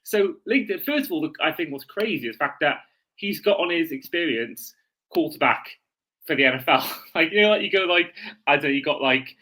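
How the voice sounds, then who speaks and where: A man's voice: on the high side, lively intonation, a little fast, sharp tone. A man co-hosting a sports podcast in casual, chatty, informal conversation.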